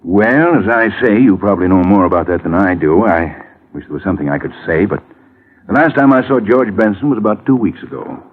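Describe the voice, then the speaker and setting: A middle-aged, smooth-talking lawyer in an old radio play. His low voice moves up and down freely as he chats in a busy, glib, folksy way. The sound is muffled vintage broadcast. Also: expressive, fairly quick. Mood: evasive. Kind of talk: 1950s radio drama acting.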